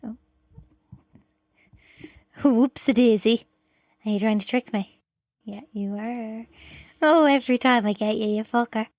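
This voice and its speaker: A woman's voice: a little high, a little slow, long pauses, a little mellow, no room sound, clean studio sound. An Irish woman co-hosting a podcast in casual film chat, teasing and matter-of-fact. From Ireland. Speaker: podcast co-host.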